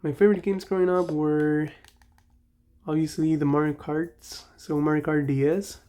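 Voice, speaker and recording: A man's voice: a little high, flat delivery, normal speed, mellow. A young man with a Mexican accent, chatting casually and nostalgically while playing a game, often correcting himself mid-sentence. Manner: rambling, nostalgic, self-correcting. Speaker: gaming channel host.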